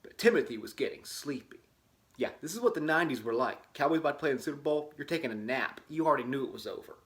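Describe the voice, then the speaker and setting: A man's voice: mid-range voice, animated, fast talker, fairly bright tone. A man reading a storybook dramatically, breaking off for wry, tongue-in-cheek casual commentary. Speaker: host reading a children's book aloud with asides.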